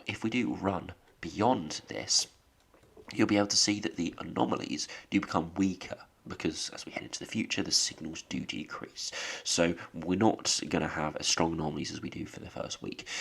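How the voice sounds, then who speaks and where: A man with a stuffy, bunged-up voice from a cold, pitched very low and gravelly. He walks through forecast charts in a loose explanatory way, dotted with ums and uhs, and his tone comes out husky. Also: a bit bright. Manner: explains with many fillers and restarts. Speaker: weather channel host. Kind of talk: talking over forecast charts.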